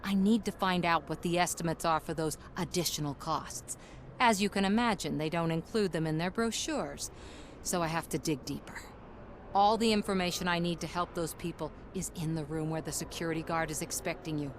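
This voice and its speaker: A woman voicing an earnest activist, lowish voice. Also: a little fast, slight background noise, close to an everyday voice. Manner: earnest, persuasive. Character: determined woman activist helping residents.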